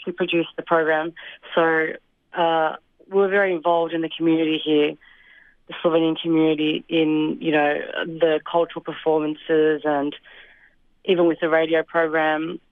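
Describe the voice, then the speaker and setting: A woman's voice: low voice, little pitch movement, normal speed, clear, resonant tone, no echo. Young woman on a tinny phone line. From Australia. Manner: thoughtful, hesitant with fillers and soft laughs. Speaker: interview guest. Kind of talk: casual interview answers.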